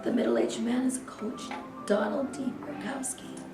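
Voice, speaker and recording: A woman's voice: on the high side, normal speed, over music. A woman reading quotations and stage directions as scripted narration for a staged play reading. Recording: echoey room, distant mic, clear noise.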